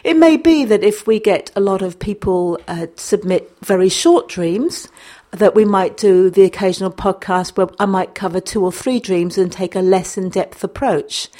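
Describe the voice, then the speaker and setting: A woman's voice: medium pitch, warm tone. Woman host explaining a dream podcast. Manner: explanatory, methodical, reads listener emails aloud. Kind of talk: solo podcast narration.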